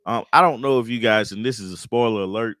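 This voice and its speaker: A man co-hosting a casual live coffee chat, playful and quick to laugh. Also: on the low side, fairly bright tone, no echo, studio quality.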